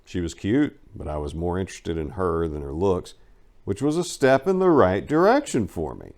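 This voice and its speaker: A man narrates a first-person life story in a low-pitched voice. His reading is dramatic and expressive. He leans hard on some phrases and drops softer on others, as a storyteller would. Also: normal speed.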